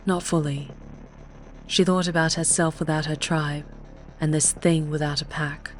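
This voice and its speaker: Low-voiced woman narrating dramatic fiction. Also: fairly even intonation, measured pace. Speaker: audiobook narrator. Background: faint music.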